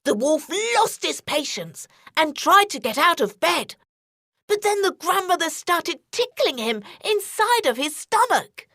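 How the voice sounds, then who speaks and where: A woman narrating a scripted children's story in an expressive, deliberate way. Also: very high voice, dry recording, studio quality.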